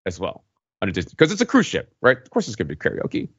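Deep-voiced man on a roomy, dull mic. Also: huge pitch swings, quick pace, husky. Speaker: podcast regular. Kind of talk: casual chat, anecdote telling.

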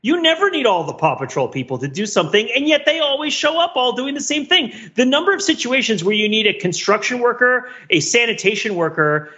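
A man's voice: extremely high pitch, big pitch swings, fairly quick, hardly any pauses, bright, forceful tone, highs cut off. A man co-hosting a podcast, riffing in rambling, comedic asides about film in a casual chat. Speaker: podcast co-host.

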